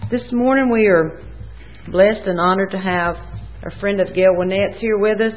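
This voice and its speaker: A man's voice: sits high, a little hiss or hum. Man leading church liturgy, tinny sound. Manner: measured, formal liturgical reading. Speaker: worship leader. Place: church sanctuary. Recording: tinny, phone-like church audio.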